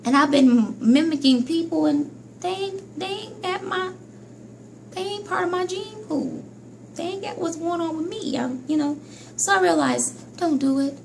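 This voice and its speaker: A woman vlogging about hair care in a casual, chatty monologue, scolding herself and jumping between high and low pitch. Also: unhurried, creaky, background noise. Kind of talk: casual vlog monologue to viewers.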